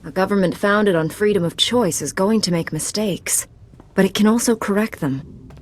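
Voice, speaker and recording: A steadfast sci-fi game heroine, voiced by a woman, mid-pitched and firm. She is a principled knight who speaks earnestly and with assurance. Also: moderate pace, lightly acted.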